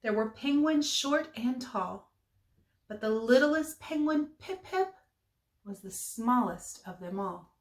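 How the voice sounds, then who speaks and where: A woman reading a children's storybook aloud with expressive, emphatic phrasing for young listeners. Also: fairly high pitch, slow, roomy sound.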